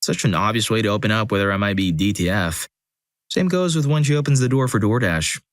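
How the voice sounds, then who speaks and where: A man narrating a compilation of first-person stories in a steady, scripted reading, with dry, wry asides. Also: lowish voice, brisk, no echo.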